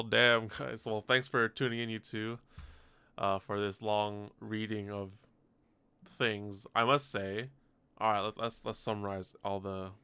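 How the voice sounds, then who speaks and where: A male game streamer with a low, bright and crisp voice, close on a dry mic. He chats casually in slang, swearing and griping with exasperation. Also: dry recording. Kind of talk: casual live stream reaction and chat.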